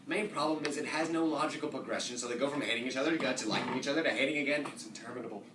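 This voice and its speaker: A man's voice: mid-range voice, very fast. A man reading scripted comedic commentary as a reader's theatre performer. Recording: noisy echoey room, distant mic.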